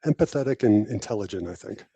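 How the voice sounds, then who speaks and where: A man's voice: on the low side, animated, quick pace, warm tone, slightly rough, highs cut off. A man giving conversational interview answers, explaining things plainly in short declarative phrases. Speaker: podcast guest, technology professional and army veteran.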